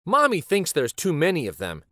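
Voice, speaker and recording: A man in his forties reading a children's picture book aloud in an expressive, deliberate storytelling style. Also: fairly high pitch, no room sound, clean studio sound. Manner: animated, deliberate storytelling delivery.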